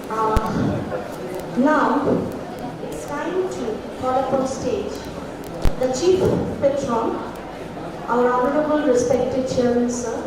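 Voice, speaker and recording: Brief: high-voiced woman emcee, polite, a bit halting, in a noisy echoing hall. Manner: polite, with frequent hesitations. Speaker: conference emcee. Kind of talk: formal stage announcing. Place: large conference hall. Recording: echoey hall, far mic, ambient noise.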